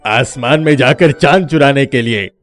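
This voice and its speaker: A man's voice: mid-range voice, moderate pace, natural voice. A man playing a cunning, boastful thief who poses as a madman in a moral story. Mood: dreamy, sly. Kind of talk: animated moral story dubbing.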